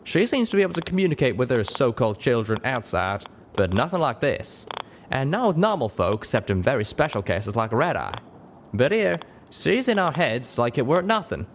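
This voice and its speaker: A man's voice: mid-range voice, very animated, fairly quick, slight background noise, voice pushed up. A man voicing a folksy, wry, suspicious male cowboy pegasus with a drawl, half-whispered, for an audio story.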